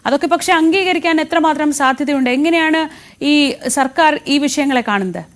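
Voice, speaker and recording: Lady news anchor, really high voice, asking questions live on air. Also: moderate pace.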